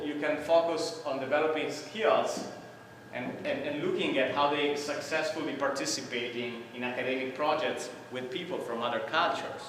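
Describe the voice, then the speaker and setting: A man's voice: medium pitch, fairly bright tone, slight background noise. A middle-aged man from Italy with an Italian accent, giving an academic conference presentation in explanatory style, with frequent "uh" and "right?" check-ins. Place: large conference hall. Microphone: room microphone heard from far off. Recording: echoey hall recorded from far off.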